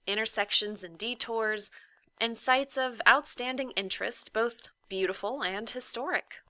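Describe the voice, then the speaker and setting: A woman reading a children's story aloud like a teacher, with deliberate, emphatic phrasing and dramatic hushes. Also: medium pitch, dry recording.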